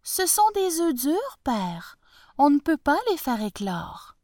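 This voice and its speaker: A woman's voice: high voice, slow, no echo, studio quality, pitched above the performer's own voice, exaggerated voice. A woman doing a clever, sly peasant girl. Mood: calm. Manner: calm, witty and reassuring. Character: clever young peasant daughter, calm and sly.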